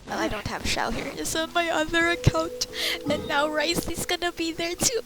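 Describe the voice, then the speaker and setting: A woman's voice: very high-pitched, moderate pace. A woman giving casual, informal and reactive commentary while playing a video game. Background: game music.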